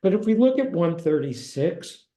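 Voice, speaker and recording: A man in his seventies teaching a course, talking in a halting way with many restarts and fillers, and reading passages from a book aloud. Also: high-pitched, big pitch swings, mellow. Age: 70s. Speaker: course instructor. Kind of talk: lecture with read-aloud passages.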